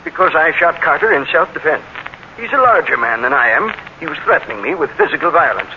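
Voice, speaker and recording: A man's voice: a little high, animated, quick pace, lightly acted. An actor playing a meek, nervous little businessman in 1940s radio drama style, hesitant and earnest. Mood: nervous, insistent. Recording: noisy, muffled 1940s radio recording.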